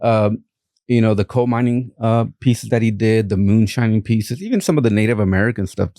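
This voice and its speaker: Brief: Mexican-accented man, lowish warm voice, hesitant guest speaker on a dry mic. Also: no room sound. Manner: halting, many fillers and restarts. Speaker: art collector guest. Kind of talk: casual interview talk.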